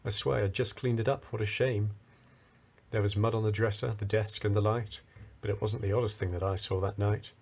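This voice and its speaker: A man narrating a rhyming children's story as a storyteller, with a husky voice. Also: fairly low pitch, steady tone, fairly quick, darkish tone.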